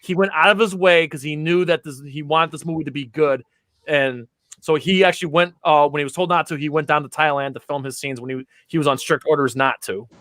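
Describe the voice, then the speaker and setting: A man chatting on a podcast, rambling eagerly through anecdotes with lots of fillers. His voice sits a little high, with a bright, forward ring. The sound is dry and close. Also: steady tone, a little fast, no echo. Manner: enthusiastic, rambling storyteller with many fillers. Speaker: podcast co-host. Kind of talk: casual podcast chat.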